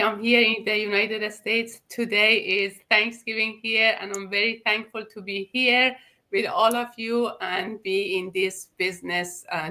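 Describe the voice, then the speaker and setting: A woman's voice: mid-range voice, pushed and bright. A woman in her forties from Iran introducing herself on a video call, earnest and hesitant with many fillers. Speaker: hairstylist.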